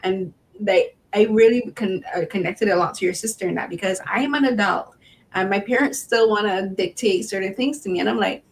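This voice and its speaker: A woman's voice: medium pitch, a little fast, a little hiss or hum, low-quality recording. A woman hosting a podcast interview, chatty and enthusiastic with lots of fillers. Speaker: podcast host. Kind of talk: casual interview.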